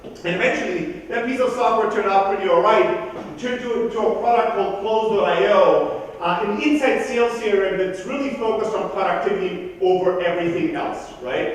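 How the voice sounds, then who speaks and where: A man in his thirties from Greece, raised in southern Germany, giving a blunt, profane, energetic keynote talk at a conference. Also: high-pitched, a little hiss or hum. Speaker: conference speaker. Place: large conference hall. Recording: echoey hall from far off.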